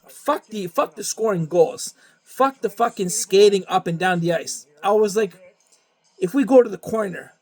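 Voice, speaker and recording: A young man talks in a loose, rambling monologue, swearing freely and circling back over the same phrases. His voice sits a little high for a man. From Canada. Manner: profane, rambling, repeats himself. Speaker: vlogger. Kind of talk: casual monologue.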